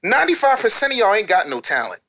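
A man's voice: high voice, huge pitch swings, fast, pushed and bright. An American man speaking as an interview guest, candid and conversational, with a blunt, emphatic, streetwise way of talking. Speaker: interview guest, former radio DJ and record maker.

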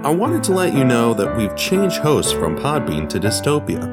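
A man's voice: mid-range voice, wildly swooping pitch, normal speed. A man presenting a podcast as its host, reading scripted narration in an explanatory way. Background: music.

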